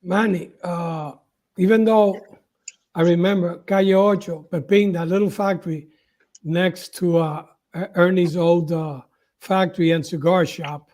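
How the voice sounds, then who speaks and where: A man's voice: sits high, steady tone, unhurried, a little mellow. A man interviewing a guest, asking long, hesitant, rambling questions. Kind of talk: casual interview talk.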